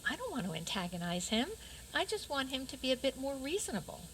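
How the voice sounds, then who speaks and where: A woman's voice: high-pitched, sing-song, quick pace, a bit bright, slight background noise, rough recording. A woman playing an anxious, earnest fairy-tale princess in a community radio play, fretful and hesitant. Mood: defensive. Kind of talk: community radio theater acting.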